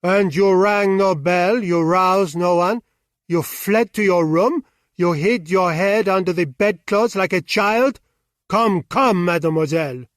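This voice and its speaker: A man voicing a stern, sharp-tongued French detective in an audiobook, commanding and ironic. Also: on the high side, slow, no room sound, studio quality.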